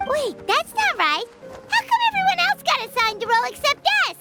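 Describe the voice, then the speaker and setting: A woman voicing a tiny, excitable childlike fairy companion in a video game, squeaky and indignant, firing off exclaimed questions. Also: moderate pace, exaggerated voice. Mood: flustered, indignant. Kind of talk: animated video game voice acting. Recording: game music under the voice. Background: background music.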